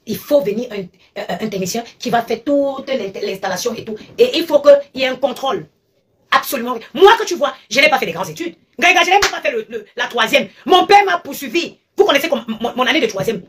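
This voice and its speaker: A woman's voice: fairly high pitch, big pitch swings, brisk, fairly bright tone, breathy edge. A woman ranting and lecturing on her live stream, talking emphatically and repeating her points, recorded on a phone. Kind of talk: animated live-stream rant.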